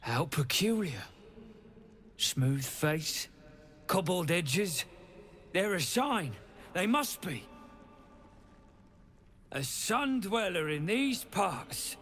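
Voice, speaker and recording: An actor playing a courteous, curious, eccentric scholar of the underground in a video game, speaking in a measured, musing, ornate way. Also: male voice, sits high, animated, fairly bright tone, exaggerated voice. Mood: intrigued musing. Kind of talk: video game character acting.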